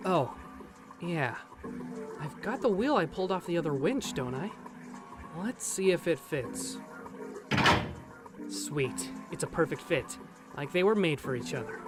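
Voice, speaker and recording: A man's voice: on the high side, expressive, unhurried, long pauses, over loud music. A young man playing a casual, flippant male college student in an amateur game dub. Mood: casual, focused. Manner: casual, slangy. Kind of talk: amateur visual novel game dub acting.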